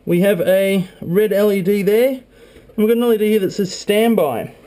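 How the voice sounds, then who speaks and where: Higher-pitched young man, casual vlogger. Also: moderate pace, dark tone. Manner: informal, chatty. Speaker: video blogger. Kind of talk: casual hands-on narration of a PC build. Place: small room at home, indoors. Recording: faint background noise.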